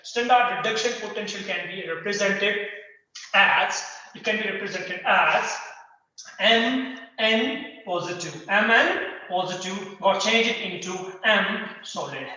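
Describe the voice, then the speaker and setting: A man's voice: sits high, measured pace, sharp tone. A young man teaching chemistry at a whiteboard to a class, repeating key terms and quizzing his students. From Kargil. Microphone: far camera. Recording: echoey room, dull lo-fi sound.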